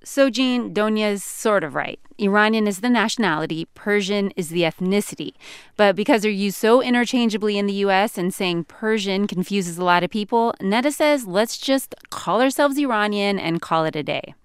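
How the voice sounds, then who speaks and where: A woman from Northern California hosting a podcast, moving between scripted narration and casual chat in an upbeat, measured way. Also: mid-range voice, clean tone, no echo, studio quality. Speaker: podcast host. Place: studio. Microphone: studio microphone.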